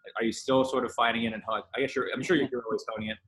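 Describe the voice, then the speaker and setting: A man's voice: mid-range voice, steady tone, rapid-fire, a bit bright, low-quality recording. A young man co-hosting a podcast, asking questions in a casual, conversational style. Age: young adult. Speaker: podcast co-host, interviewer. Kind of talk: casual interviewing.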